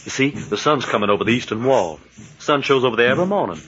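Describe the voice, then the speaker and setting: A man's voice: mid-range voice, expressive, quick pace, fairly bright tone, natural voice. Male actor as American radio-serial sidekick: punchy, slangy, hot-headed, loyal; dull sound with faint noise. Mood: blunt. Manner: folksy slang, blunt. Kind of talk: 1940s radio acting. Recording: muffled, noisy vintage radio recording.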